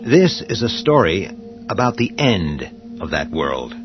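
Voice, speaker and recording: Low voiced guy narrating horror radio drama, ominous n dramatic, muffled under loud scary music. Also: very animated. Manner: ominous, deliberate phrasing.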